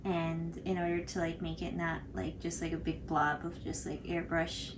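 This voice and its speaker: A woman's voice: lowish voice, flat delivery, normal speed. A young woman explaining casually as a tutorial co-host, with hesitant phrasing peppered with "like". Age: young adult. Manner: hesitant, filler-heavy phrasing with frequent "like". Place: small furnished room indoors, on a couch. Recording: clearly noisy home recording.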